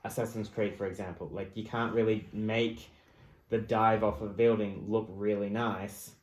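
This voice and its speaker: A man's voice: lowish voice, level tone, normal speed. A man co-hosting a podcast, explaining things in casual discussion, recorded in an echoey room. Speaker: podcast co-host.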